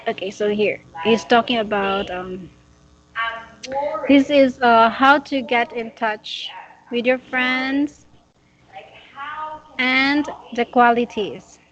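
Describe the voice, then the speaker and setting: A dull, lo-fi recording carries the fairly high voice of a woman in her late twenties. She teaches English conversationally, in simple halting phrases with a giggly manner. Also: crowd noise. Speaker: English teacher in a one-on-one lesson. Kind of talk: casual conversational tutoring.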